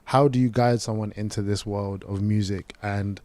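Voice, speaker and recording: A man from Zimbabwe chats easily as a podcast co-host, winding through his questions with ums and likes. His voice sits a little low. The recording is close and dry. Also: normal speed, no echo. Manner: relaxed, rambling, many "um" and "like". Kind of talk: casual podcast chat.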